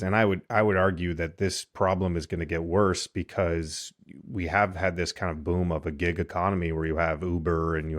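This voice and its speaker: Very deep-voiced man. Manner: rambling, explanatory, many fillers. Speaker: podcast co-host. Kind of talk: casual discussion.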